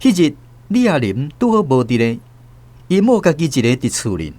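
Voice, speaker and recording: A man's voice: fairly high pitch, moderate pace, a little hiss or hum. A man narrating a radio drama story as the show's host. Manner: lively storytelling.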